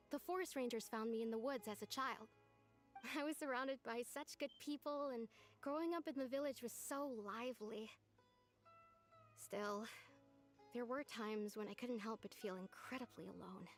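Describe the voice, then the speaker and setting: An actress voicing a gentle, wistful young village woman in a video game, speaking softly and earnestly. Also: fairly high pitch, normal speed, natural voice. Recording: clean voice over background game music.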